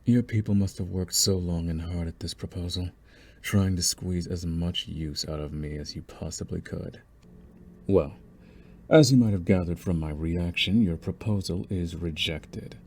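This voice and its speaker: A man's voice: low voice, normal speed, a little mellow, husky. A man playing a young, possessive mafia boss in a scripted ASMR audio roleplay, taunting and condescending in a theatrical, menacing way. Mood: mocking amusement. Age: young adult.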